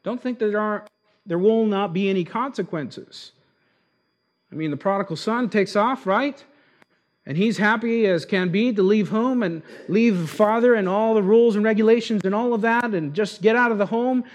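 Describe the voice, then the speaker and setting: A man with Argentine roots preaching an expository sermon, slowing down to stress key words. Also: high voice. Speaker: pastor.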